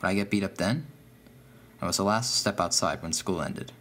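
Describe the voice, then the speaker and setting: A man's voice: low-pitched, lively intonation, fairly quick, fairly bright tone. A man reading a scripted first-person horror story as its narrator.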